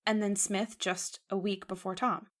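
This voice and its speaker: A woman's voice: mid-range voice, flat delivery, normal speed, lightly acted. A woman playing an anxious, devoted young woman in an old-time radio horror drama, earnest and melodramatic. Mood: troubled, insistent.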